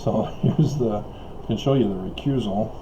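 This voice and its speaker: A man's voice: lowish voice, moderate pace, dull audio, noisy background. A man talking in a rambling solo monologue, chuckling and hesitating often while his voice wears out and he coughs. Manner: chuckles often between remarks; hesitant, many fillers and restarts. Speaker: online video commentator.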